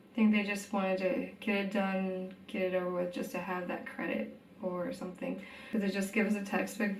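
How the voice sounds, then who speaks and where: A woman's voice: medium pitch, darkish tone, poor audio. A young woman from Texas, chatting casually in a vlog, hesitant and full of ums. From Texas, United States. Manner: hesitant, lots of ums and likes. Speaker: vlogger.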